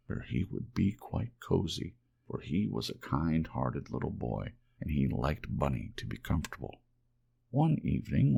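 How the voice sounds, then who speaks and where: Deep, gravelly man reading a storybook. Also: darkish tone. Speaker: audiobook narrator. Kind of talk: ASMR storybook narration.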